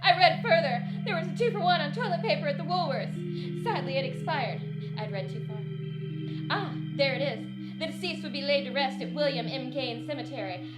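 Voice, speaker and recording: A woman's voice: high-pitched, expressive, normal speed. A woman playing an overwrought, sardonic wife in a parody of 1940s radio drama. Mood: narrating, deadpan. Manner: overwrought, theatrical. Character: hysterical melodramatic 1940s wife, Patricia, with a sardonic streak. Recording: roomy echo under loud music.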